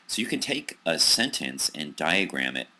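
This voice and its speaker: A man with an American accent giving a recorded psychology lecture over slides, pausing often with ums and restarts. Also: extremely low pitch, very animated, bright, forceful tone. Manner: hesitant, many ums and restarts.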